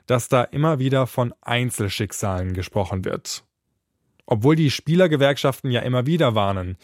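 A man's voice: mid-range voice, darkish tone, no echo. A man narrating a scripted podcast as its host and reporter, speaking into a close microphone.

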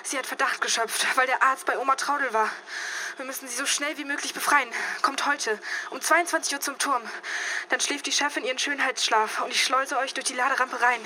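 A woman's voice: high-pitched, fairly even intonation, brisk, bright, forceful tone, a little hiss or hum, poor audio. A woman playing an anxious young insider in a children's radio play, passing on urgent messages in short phrases. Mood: urgent, worried. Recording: music behind the voice.

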